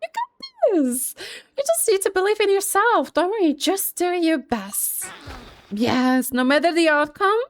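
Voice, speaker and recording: A woman's voice: extremely high pitch, very animated, a little slow, dry recording. A woman giving casual gameplay commentary, reading game text aloud and cheering her pet with giggles. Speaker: gaming video creator.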